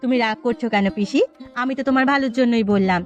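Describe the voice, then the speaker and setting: A woman's voice: a little high, quick pace, lo-fi sound, faint music behind, close to an everyday voice. A woman voicing a caring, chatty village mother with a fussing, homely manner in a cartoon story. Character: caring village mother, young niece, mildly exasperated.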